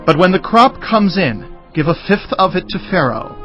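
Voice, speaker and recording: A man playing an earnest, dutiful governor and son in a dramatized audio Bible, measured and declarative. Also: high-pitched, sing-song, muffled recording, soft background music. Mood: authoritative.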